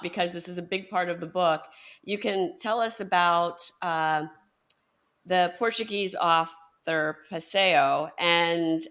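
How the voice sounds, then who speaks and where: A woman's voice: lowish voice, fairly bright tone. A woman hosting a book podcast, putting questions to her guest with frequent ums and hesitations. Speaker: podcast host. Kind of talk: interview questions.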